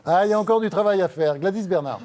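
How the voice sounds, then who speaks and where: Dark-voiced male TV host. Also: high-pitched, fast talker. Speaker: TV talk show host. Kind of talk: studio debate moderation. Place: TV studio.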